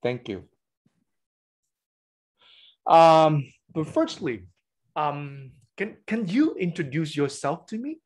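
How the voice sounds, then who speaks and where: A man hosting an online teachers' meeting, calling on each teacher in turn and filling pauses with ums. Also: a little high, very animated. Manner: slow, hesitant with many fillers. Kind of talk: hosting a video call.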